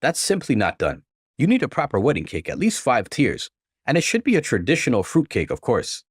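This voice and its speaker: A man's voice: medium pitch, huge pitch swings, a little fast, husky, no room sound, studio quality. A man voicing a meddling, snobbish older mother-in-law in a story, sugary and condescending in manner. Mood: aghast. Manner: sickly sweet, condescending, fussy.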